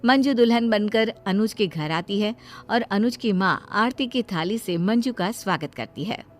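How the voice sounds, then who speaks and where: A woman's voice: mid-range voice, expressive, measured pace. A woman storyteller reading scripted narration for a cartoon bedtime story.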